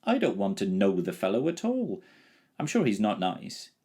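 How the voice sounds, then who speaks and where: A man's voice: on the low side, huge pitch swings, moderate pace, warm tone, slightly rough, pitched above the performer's own voice, full character voice. A man voicing a lazy, plaintive old dragon. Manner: plaintive, drawling. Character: lazy, timid, fussy male dragon.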